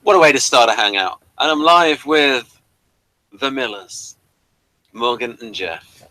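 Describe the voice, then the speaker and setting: A British man hosting a casual online review chat in a rambling, halting way. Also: medium pitch, lively intonation, sharp tone, dry recording, poor audio. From Britain. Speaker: host of online hangout. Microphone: webcam livestream setup.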